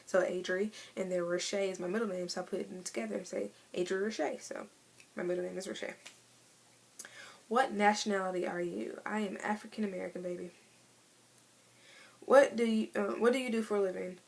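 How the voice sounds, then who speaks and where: A woman of twenty in a casual vlog, chatting in a relaxed way with many fillers as she answers tag questions. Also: mid-range voice, normal speed, vocal fry. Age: 20s. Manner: chatty, laid-back, lots of fillers. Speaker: vlogger. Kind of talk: casual tag video chat.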